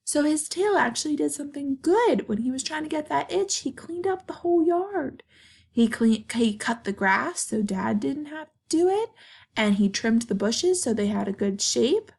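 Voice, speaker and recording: A woman's voice: high-pitched, expressive, normal speed, creaky, a little mellow. A woman reading a picture book aloud to children, expressively, stopping to explain and ask questions. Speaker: read-aloud storyteller for children. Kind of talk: picture book read-aloud with asides to young listeners.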